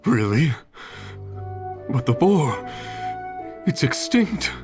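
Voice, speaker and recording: An older man voicing a wistful old judge who longs for his bygone era in a video game, speaking in a halting, pensive way. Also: mid-range voice, very animated. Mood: moved, sad. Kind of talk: English video game voice acting. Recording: music playing behind the voice.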